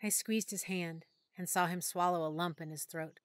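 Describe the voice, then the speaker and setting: A woman narrating a first-person audiobook in a soft, emotional storytelling manner. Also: on the low side, steady tone, moderate pace, no room sound, clean studio sound.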